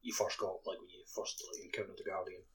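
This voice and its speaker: A man's voice: low voice, flat delivery, fast, a bit bright, slight background noise. A man on a podcast chatting casually about a video game, peppering his talk with "like". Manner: frequent filler "like". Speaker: podcast host.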